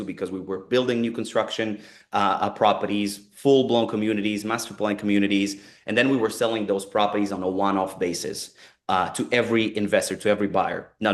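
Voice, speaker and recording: A man in his forties with an Israeli accent, talking earnestly in a webinar and often repeating and restarting phrases. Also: fairly low pitch. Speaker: company co-founder presenting a webinar. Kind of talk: conversational webinar talk.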